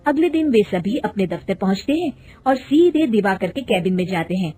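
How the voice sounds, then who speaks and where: A woman's voice: medium pitch, very animated, normal speed, mellow, slightly rough, faint music behind. A woman storyteller reading scripted narration for a cartoon family tale.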